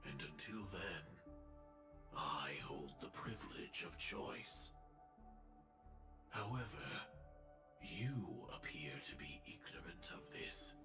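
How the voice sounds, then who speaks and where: An actor playing a grave, guarded armored warrior in a video game, speaking with solemn, cryptic menace. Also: male voice, extremely low pitch, lively intonation, full character voice. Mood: solemn, menacing. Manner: solemn, drawn-out delivery. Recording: reverberant voice over game music.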